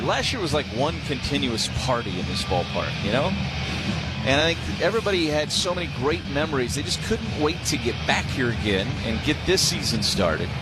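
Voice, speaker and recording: American baseball play-by-play man over stadium noise. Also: mid-range voice. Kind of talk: live radio baseball commentary. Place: stadium broadcast booth during a game. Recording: clear crowd noise behind the voice. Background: ballpark ambience.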